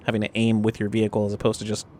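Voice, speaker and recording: A man's voice: fairly low pitch, completely flat delivery, rapid-fire, a little mellow, slight background noise. A man playing a tabletop game, talking casually as he reasons things through and explains his thinking.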